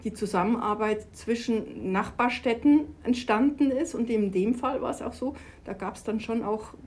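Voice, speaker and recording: A woman's voice: on the high side, moderate pace, a little mellow, breathy edge. A middle-aged woman from Switzerland, talking enthusiastically in an interview about her work in sport. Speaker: sports organization official. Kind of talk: conversational interview.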